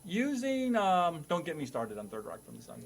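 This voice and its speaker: Higher-pitched man hosting a comedy quiz. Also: huge pitch swings, gravelly. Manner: mock-schoolteacher formality, halting delivery. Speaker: host and quizmaster playing a classroom teacher.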